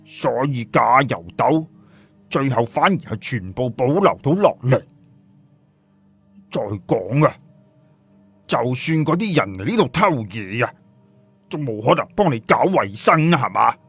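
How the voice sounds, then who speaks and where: A man's voice: mid-range voice, expressive, unhurried, long pauses, a bit bright, breathy edge, faint music behind, full character voice. A man voicing a brash, scornful, streetwise fellow with a blunt, slangy, mocking manner in an audio story. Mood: scornful explaining.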